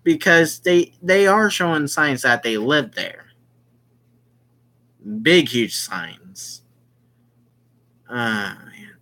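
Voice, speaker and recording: A man reading a visual novel aloud with casual commentary, halting and often repeating his phrases. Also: fairly high pitch, bright, forceful tone.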